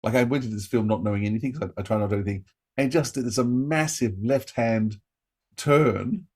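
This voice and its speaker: A man co-hosting a podcast, giving a casual, enthusiastic review in a halting, effusive way. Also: mid-range voice, a little mellow, breathy edge.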